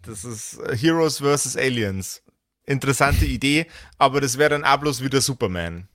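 Male game master, mid-pitched, dry recording; colloquial, blunt explanations in casual tabletop chat. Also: expressive, moderate pace, fairly bright tone, dry recording. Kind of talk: casual tabletop character-creation discussion.

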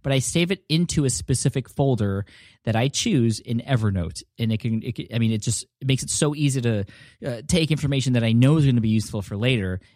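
A male podcast host speaks into a close studio mic in a mid-pitched voice. The sound is dry. He talks in a friendly, rambling way and restarts sentences as he thinks. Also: fast talker, a little mellow, no room sound. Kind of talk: casual solo podcast talk.

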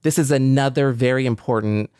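A man co-hosting a talk show, giving reflective commentary in a measured, earnest way. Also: medium pitch, a little mellow, smooth, no echo, studio quality.

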